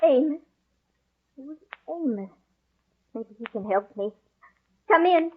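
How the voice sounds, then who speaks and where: High-voiced bewildered woman in radio horror. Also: animated, slow. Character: bewildered, anguished woman robbed of her memory. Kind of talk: old-time radio horror melodrama acting. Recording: muffled scratchy old radio broadcast, faint music.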